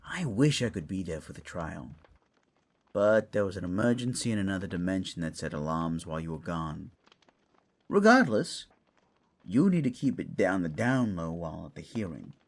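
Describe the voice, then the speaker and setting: A man's voice: sits low, big pitch swings, moderate pace, heavily acted. A man playing a wisecracking, fussy but caring raccoon mentor in a fantasy audio roleplay, casual and teasing. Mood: casual concern.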